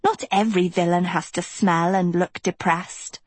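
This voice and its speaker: A woman's voice: fairly low pitch, fairly even intonation, moderate pace, no room sound, lightly acted. A woman voicing a cheerful, friendly, plump teenage girl in a storybook, chattering breezily as if snacking. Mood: breezy. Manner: breezy, mouth-full garbling.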